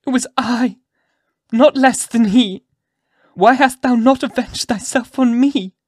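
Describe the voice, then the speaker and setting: A man's voice: medium pitch, completely flat delivery, normal speed, darkish tone, breathy edge, no room sound, studio quality, lightly acted. A man voicing an anguished but resolute woman who pleads with dignity in impassioned, archaic storybook speech. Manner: archaic, impassioned, emphatic delivery.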